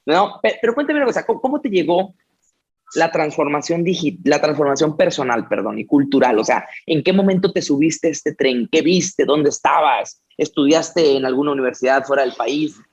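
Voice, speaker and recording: A man's voice: on the high side, lively intonation, breathy edge. A man hosting an interview, eagerly piling up questions for his guest. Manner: eager, piles up rapid questions. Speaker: interview host. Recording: muffled lo-fi sound.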